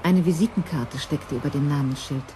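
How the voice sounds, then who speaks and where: A woman narrating a German crime radio drama in a measured, composed manner. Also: deep voice, mellow, a little hiss or hum, natural voice.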